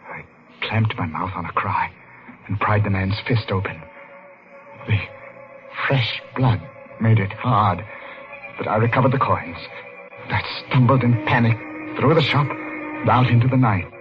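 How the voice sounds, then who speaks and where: A man's voice: on the low side, unhurried, long pauses, natural voice. An actor playing a haunted man recounting his boyhood in dread, in the ornate, suspenseful style of old-time radio horror. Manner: dramatic, ornate, suspenseful delivery. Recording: muffled vintage radio, music underneath. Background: dramatic music.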